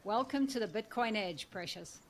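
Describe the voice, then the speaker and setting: A woman's voice: mid-range voice, normal speed. A woman hosting a conversational interview, putting questions to a guest. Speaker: podcast host interviewing a guest.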